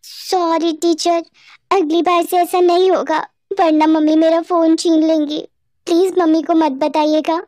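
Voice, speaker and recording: Woman as teenage cartoon schoolgirl, naive, emotional, pleading, very high girlish pitch. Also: level tone, unhurried, darkish tone, dry recording.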